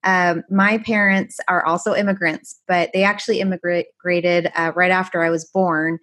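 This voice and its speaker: Woman podcast cohost, native farsi speaker, grew up in canada, fairly low voice, lively. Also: normal speed. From France and Canada. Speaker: pediatrician podcast co-host. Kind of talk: casual conversational chat.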